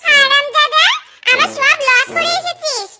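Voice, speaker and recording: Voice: very high voice, measured pace, heavy reverb, recorded from a distance. A performer voicing a bossy, nagging fox wife in a children's cartoon, with music behind. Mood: scolding. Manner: commanding, nagging.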